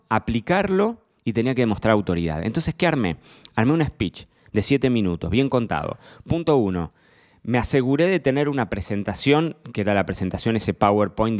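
A middle-aged man with an Argentine Rioplatense accent, hosting his own podcast in a chatty, self-deprecating monologue full of fillers and tag questions. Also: on the low side. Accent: Argentine Rioplatense Spanish. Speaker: podcast host. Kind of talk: casual solo podcast monologue.

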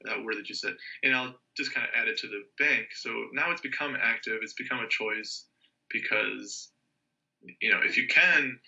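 A man's voice: mid-range voice, normal speed, gravelly, pushed and bright, highs cut off. A man chatting casually as a guest, thinking aloud and rambling with restarts. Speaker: language learner guest. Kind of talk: casual conversation.

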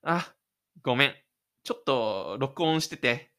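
A man voicing a shy, stubborn high school boy in an audio drama. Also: lively intonation, takes it slowly, pushed and bright. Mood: apologetic, offhand.

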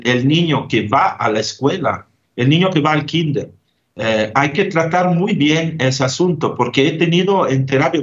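A man's voice: on the high side, muffled recording. A man from Italy, a grief therapist, answering interview questions thoughtfully with frequent hesitations and tag questions.

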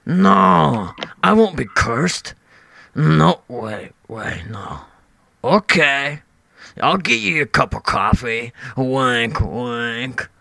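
A man playing a sketchy, jittery dad in a comedy sketch, with a gravelly voice and erratic, squawky outbursts. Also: medium pitch, big pitch swings, very slow, sharp tone, no room sound, heavily acted. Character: sketchy, jittery confessing dad selling knives and bootlegs.

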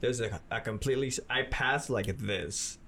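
A man's voice: medium pitch, moderate pace, creaky, fairly bright tone, a little hiss or hum. Chatty young man telling stories. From Mexico. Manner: chatty anecdotal storytelling. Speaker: podcast co-host. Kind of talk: casual podcast discussion.

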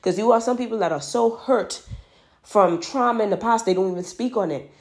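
A woman's voice: medium pitch, a little fast, a little mellow. A Bahamian woman in her twenties with a Bahamian accent gives emphatic dating advice straight to her listeners and repeats words for stress. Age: 20s. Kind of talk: casual advice monologue.

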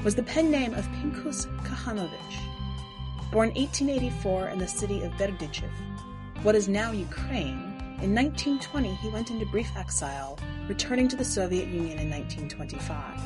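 A woman reading scripted literary narration in a measured, deliberate way. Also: mid-range voice. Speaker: podcast editor and story reader.

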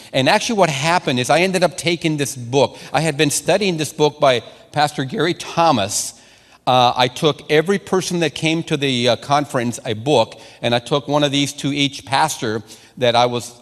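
A man's voice: mid-range voice, fairly bright tone, big-room sound. A man whose first language is Spanish, originally from Mexico, preaching a sermon and telling stories with lots of repeated words and restarts. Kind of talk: sermon to a congregation.

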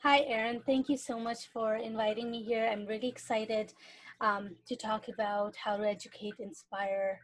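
A woman's voice: fairly high pitch, fairly even intonation, moderate pace. A young adult woman from Iran, a guest on a podcast, talking casually and thoughtfully with frequent fillers. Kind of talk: casual interview talk.

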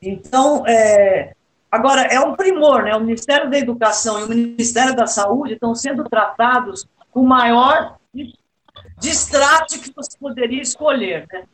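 A woman's voice: fairly high pitch, moderate pace, poor audio. A woman with a Brazilian accent speaking as a panelist in a political discussion, with an ironic way of talking.

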